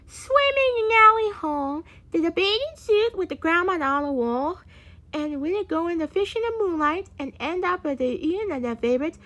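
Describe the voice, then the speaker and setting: High-pitched woman reading a storybook haltingly. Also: lively intonation, a bit bright. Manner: halting, stumbling over words. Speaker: storybook reader. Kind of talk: amateur read-aloud of a children's story.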